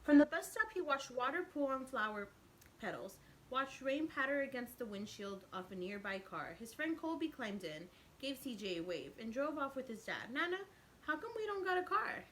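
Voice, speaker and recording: A woman teacher reading a picture book aloud to young children, expressive and explaining things simply. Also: a little high, normal speed, slight background noise, poor audio. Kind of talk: read-aloud storytelling with asides to young listeners.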